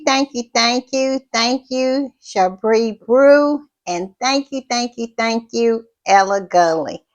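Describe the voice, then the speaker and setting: Slow-talking woman with a froggy voice. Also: a little high. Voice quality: froggy, hoarse. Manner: drawn-out, repetitive thank-yous and southern-style "y'all" asides. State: tired. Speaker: channel host. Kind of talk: casual commentary chat.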